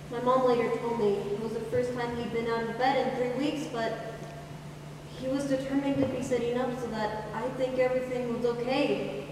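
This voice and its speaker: A woman's voice: on the high side, lightly acted. A young woman playing a grown daughter wistfully recalling her father in a stage monologue. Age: teenager. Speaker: high school drama performer. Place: large indoor hall at a school play. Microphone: distant camera. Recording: echoey hall from far off, faint noise.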